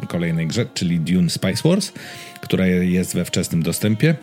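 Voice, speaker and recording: A man's voice: sits low, big pitch swings, moderate pace, darkish tone, faint music behind. A man co-hosting a podcast, talking casually and often hesitating as he searches for words. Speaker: podcast co-host.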